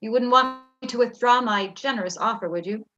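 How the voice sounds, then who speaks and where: A woman's voice: fairly high pitch, brisk, sharp tone, faint music behind. A woman playing an icy, smugly controlling government director with an officious, falsely polite manner, in a staged play reading. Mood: coldly threatening. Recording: slightly dull, lo-fi audio.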